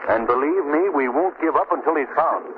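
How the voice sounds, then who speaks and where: Guy playing a rational take-charge detective type, very animated delivery, crackly thin old radio show. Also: on the high side, a little fast, lightly acted. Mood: firm, reassuring. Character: calm, rational gentleman investigator, reassuring and decisive. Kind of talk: 1940s radio drama acting. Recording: tinny, noisy old radio recording.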